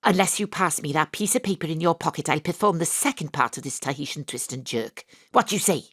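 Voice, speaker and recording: An actress playing a wry, deadpan, sardonic female private detective in a noir audio drama. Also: low voice, quick pace, breathy edge, dry recording, studio quality, heavily acted. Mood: forceful, impatient. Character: hard-boiled wisecracking female private detective, sardonic and impatient. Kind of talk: first-person noir narration in an audio drama.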